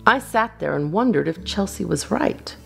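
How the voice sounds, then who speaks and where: A woman reading a children's storybook aloud as a storyteller, in an expressive, animated style. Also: medium pitch, normal speed, creaky, faint music behind. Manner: expressive, acts out dialogue. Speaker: storyteller, channel host.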